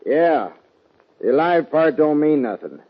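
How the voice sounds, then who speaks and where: A hard, hostile Old West sheriff with a Western accent. His fairly high voice is curt and blunt, heard through a tinny old radio recording. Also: very animated, unhurried, slightly rough, exaggerated voice. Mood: hard, threatening. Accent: American Western. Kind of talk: 1950s radio western acting.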